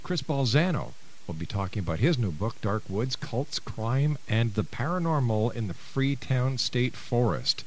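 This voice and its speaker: A man's voice: medium pitch, lively intonation, unhurried, slight background noise, rough recording. A man hosting a radio talk show, speaking in a chatty, folksy way as he runs through his show opening.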